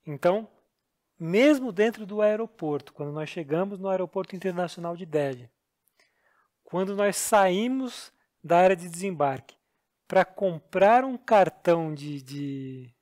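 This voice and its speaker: Brazilian man, podcast co-host, high-pitched, earnest self-deprecating storyteller. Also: lively intonation, a little slow, darkish tone. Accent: Brazilian Portuguese. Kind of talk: casual storytelling chat.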